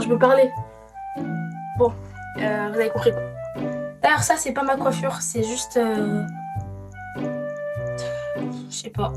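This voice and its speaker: A young woman talks to her camera in a loose, rambling vlog style, her voice a little hoarse from a cold. Her voice sits fairly high. Music plays clearly in the background. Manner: rambling, many fillers and restarts. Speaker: vlogger. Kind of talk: casual vlog chat to camera.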